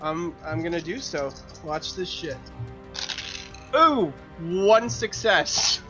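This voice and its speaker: A man's voice: a little high, lively intonation, slow. A man chatting casually as a player in a tabletop roleplaying game, sweary and given to short exclamations. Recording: dull lo-fi sound, music behind.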